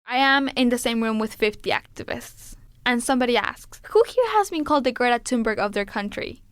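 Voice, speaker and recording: A woman climate activist telling a story in an interview, animated and candid. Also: on the high side, brisk, clear, resonant tone, dry recording.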